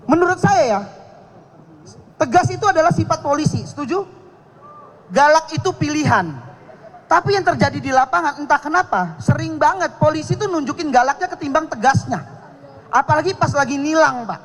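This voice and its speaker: A man's voice: very high voice, expressive, moderate pace, rough recording. A man doing a stand-up comedy set on a live contest stage, cocky and teasing, with audience laughter behind him. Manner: cocky and teasing, slips into regional voices.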